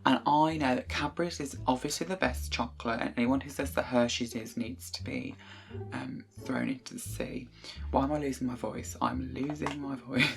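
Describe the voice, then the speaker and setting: A man's voice: medium pitch, steady tone, moderate pace, creaky. A young British man chatting his way through a casual cooking vlog in his bedroom, rambling with lots of ums and self-corrections. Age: young adult. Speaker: vlogger. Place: small bedroom indoors. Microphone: desk webcam at close range.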